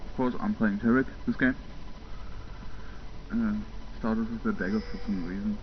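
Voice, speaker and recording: A man's voice: lowish voice, fairly even intonation, normal speed, slight background noise. A young German man giving casual, rambling commentary over gameplay footage, full of fillers and little chuckles. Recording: muffled home mic with game sounds.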